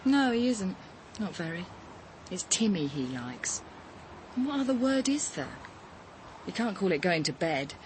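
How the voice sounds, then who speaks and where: A woman's voice: on the low side, very animated, a little slow. Blunt, defiant young British woman, noisy background. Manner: blunt, colloquial, challenging. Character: blunt, defiant young mother, a secret lover. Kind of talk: radio drama acting.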